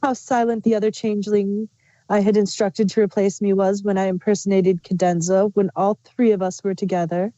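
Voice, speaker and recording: A woman's voice: medium pitch, flat delivery, fairly quick, mellow, close to an everyday voice. A woman playing a sly, wistful changeling queen villain in a fan fiction reading. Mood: smug, taunting. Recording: muffled, roomy home recording.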